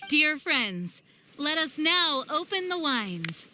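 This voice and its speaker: A woman's voice: sits high, very animated, takes it slowly, background noise, full character voice. A woman voicing a friendly, festive young woman in an anime-style game. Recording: music behind the voice.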